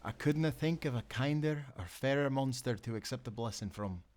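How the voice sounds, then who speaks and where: A man's voice: mid-range voice, moderate pace, a little mellow, close to an everyday voice. A man playing a hearty, loyal sailor in an audio adventure, with an earnest, folksy nautical way of talking. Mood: grateful, earnest. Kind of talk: audio roleplay acting.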